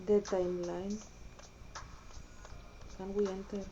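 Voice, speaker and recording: A woman's voice: medium pitch, slow, dull audio, faint music behind. A woman with a Greek accent, a native Greek speaker, giving blunt, swear-filled commentary while playing a video game. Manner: blunt, swears a lot, animated rants. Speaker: gaming vlogger. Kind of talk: casual gameplay commentary.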